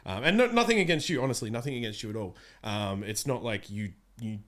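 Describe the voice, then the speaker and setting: A man's voice: on the low side, lively intonation, fast talker, fairly bright tone. A man in his thirties chatting casually as an interview guest, rambling and interrupting himself. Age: 30s. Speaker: voice actor being interviewed. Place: small room studio, indoors. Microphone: close podcast microphone.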